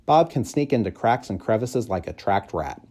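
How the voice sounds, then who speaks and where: A man reading a children's story aloud in expressive, measured, clearly phrased narration. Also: on the low side. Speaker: audiobook reader, school staff member.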